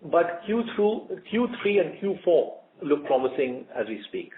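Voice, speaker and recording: Indian male executive, somewhat high voice, measured emphatic answers in short phrases, thin conference-line sound. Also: big pitch swings. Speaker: company executive answering analysts. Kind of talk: earnings call answers. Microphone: conference call line. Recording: muffled narrowband conference call audio.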